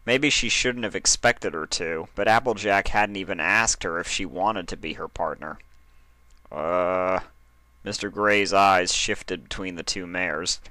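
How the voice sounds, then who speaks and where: A man narrating a story as an expressive fiction reader. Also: low voice, normal speed, few pauses, dry recording.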